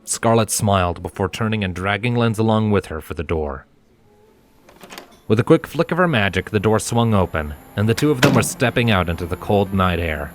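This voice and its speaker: A man reading scripted fiction as narrator, in a measured and expressive storytelling manner, with music behind him. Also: lowish voice.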